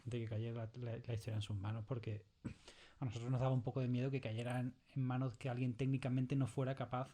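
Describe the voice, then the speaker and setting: A man's voice: medium pitch, level tone, fast, dark tone. A man with a Castilian Spanish accent in a casual studio interview, often adding tag questions to seek agreement. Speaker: audio drama creator being interviewed. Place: recording studio in Madrid.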